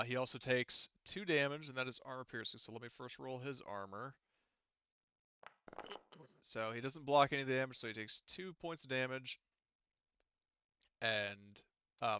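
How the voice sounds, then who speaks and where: A man's voice: mid-range voice, sharp tone, clean tone, dry recording, dull audio. A man acting as game master, patiently explaining the rules of a tabletop roleplaying game step by step.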